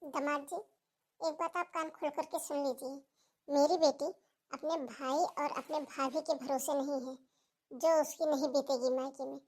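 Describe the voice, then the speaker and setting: A woman's voice: sits high, steady tone, normal speed, darkish tone, lightly acted. A woman voicing a protective, blunt middle-aged mother in a cartoon family drama, speaking in a firm, plain way. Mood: firm, insistent.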